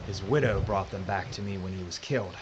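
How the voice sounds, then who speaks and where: A man's voice: deep voice, fairly quick, dull audio, lightly acted. A man playing a reluctant gunfighter who calls himself a regular guy, with a nervous, folksy drawl. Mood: weary. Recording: noisy audio drama recording.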